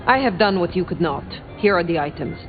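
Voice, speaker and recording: An actress playing a cool, formal female vampire sheriff in a video game, an ancient wanderer who speaks in measured, cutting phrases. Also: fairly low pitch, animated, close to an everyday voice. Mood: haughty. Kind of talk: video game character dialogue. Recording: muffled, phone-like game audio under music.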